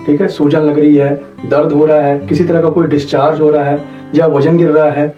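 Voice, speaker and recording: A man's voice: fairly high pitch, flat delivery, a little fast. A man explains medical matters didactically as an interview guest, repeating points and checking that he is understood. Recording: dull, echoey, distant mic, faint music behind.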